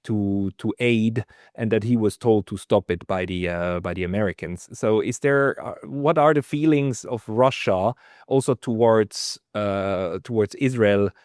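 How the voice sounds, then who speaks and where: A man's voice: on the low side, unhurried, darkish tone, no room sound, clean studio sound. A man hosting an interview, asking questions with fillers and restarts. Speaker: interview host.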